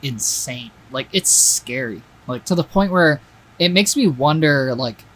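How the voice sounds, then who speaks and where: A man's voice: mid-range voice, expressive, measured pace, a bit bright, low-quality recording. A young man co-hosting a casual podcast chat, joking around, swearing freely and laughing often. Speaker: podcast co-host.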